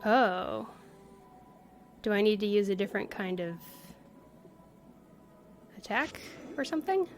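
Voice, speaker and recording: A woman streams a video game with casual, playful commentary. She talks slowly and thinks aloud, often exclaiming as she goes. Her pitch sits in the middle range for a woman, with soft music playing underneath. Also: lively intonation. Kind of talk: casual livestream gameplay commentary.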